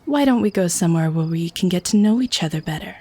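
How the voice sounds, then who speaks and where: A woman's voice: fairly low pitch, expressive, fast talker, warm tone, husky. A woman playing a sly, teasing, predatory female vampire, speaking with genteel mock sweetness in an audio roleplay. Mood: quietly menacing. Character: sly, teasing, predatory lady vampire feigning harmless friendliness. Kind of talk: scripted ASMR audio roleplay.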